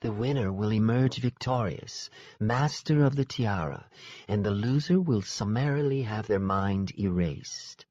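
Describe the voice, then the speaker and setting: A man's voice: fairly low pitch, measured pace, darkish tone, dry recording, muffled recording. A man voicing a stately fae royal lady. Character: elegant royal fae sister, female, stately, cold.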